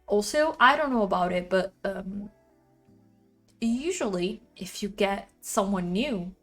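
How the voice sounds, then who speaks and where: A woman with a loud voice chatting casually on a livestream from her apartment, rambling and venting about her neighbors. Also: mid-range voice, expressive, takes it slowly, faint music behind. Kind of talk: casual chat.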